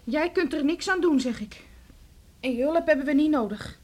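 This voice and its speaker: An actress in a Dutch radio play playing a young pregnant village daughter, defiant and distraught, with a blunt, emotional delivery. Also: female voice, high-pitched, lively intonation, normal speed, a little mellow. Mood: defiant, sullen. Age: young adult. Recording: noisy old radio play recording.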